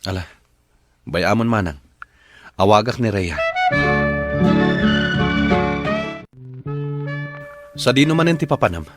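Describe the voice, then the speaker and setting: Male actor for long-suffering husband in Filipino radio drama; deepish voice, mild and earnest, clear music bed underneath. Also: sing-song, normal speed. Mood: worried. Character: troubled, patient husband and young father. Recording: loud music bed behind the voice. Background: background music.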